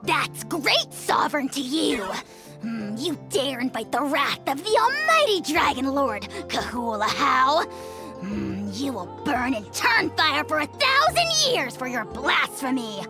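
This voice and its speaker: A woman's voice: sits high, wildly swooping pitch, measured pace, music in the background, heavily acted. A woman voicing a small, arrogant dragon lord in a video game, speaking in a grandiose, sneering, theatrical way. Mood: indignant. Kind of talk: video game character acting.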